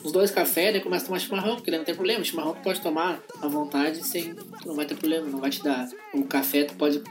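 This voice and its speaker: A man's voice: a little high, fairly even intonation, quick pace, music in the background. A man in casual group chat, earnestly explaining customs.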